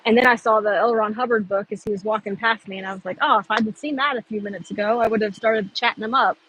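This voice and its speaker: A woman talks casually to her stream from a phone on a gimbal, rambling through fillers and laughing often. Her voice sits at an ordinary middle pitch. A faint hum of street ambience runs underneath. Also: steady tone, fast, a bit bright, rough recording. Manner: chatty, giggly, lots of fillers. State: a little thirsty. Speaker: livestreamer. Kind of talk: casual live-stream chat.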